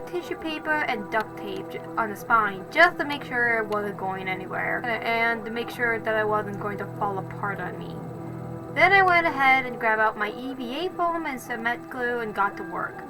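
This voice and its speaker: A woman's voice: on the high side, poor audio. A woman doing casual voice-over narration for a craft video, rambling and correcting herself as she goes. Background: music.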